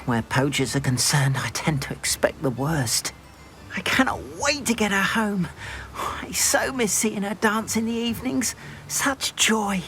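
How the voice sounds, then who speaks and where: Fretful, creaky-voiced timid goblin. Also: male voice, sits high, lively intonation, unhurried, fairly bright tone, slight background noise, heavily acted. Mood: relieved, tender. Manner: plaintive, fretful, theatrical. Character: timid, anxious, sentimental goblin doting on his pet. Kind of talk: video game character acting.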